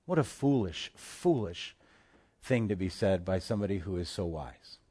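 A man's voice: deep voice, lively intonation, moderate pace, husky. Impassioned male pastor preaching. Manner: impassioned preaching, swings between hushed and forceful. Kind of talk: sermon. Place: church in Hawaii.

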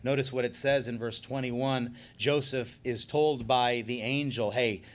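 A man's voice: medium pitch, steady tone. Earnest male pastor preaching. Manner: earnest, rising and falling emphasis, slows on key phrases. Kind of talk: sermon.

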